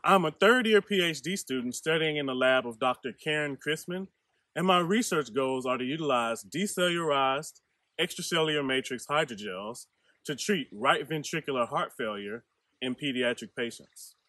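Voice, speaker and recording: Bright-voiced man introducing himself. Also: medium pitch, animated, moderate pace, no room sound. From Mississippi, USA. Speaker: PhD student. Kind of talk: scripted self-introduction. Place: outdoors by a creek.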